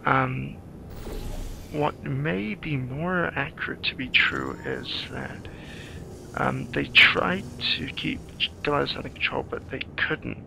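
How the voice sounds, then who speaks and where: A man improvising playthrough commentary on a video game, thinking aloud in a hesitant, halting way with a gravelly voice. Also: medium pitch, sharp tone, a little hiss or hum, poor audio. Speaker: screenwriter doing game commentary. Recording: game music behind the voice. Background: video game music.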